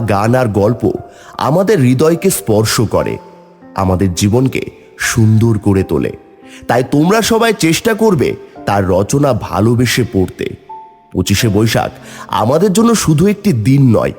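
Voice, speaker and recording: A man's voice: medium pitch, darkish tone. A man voicing an earnest, dignified educator who gives a formal, oratorical school speech in a cartoon. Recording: long hall reverb.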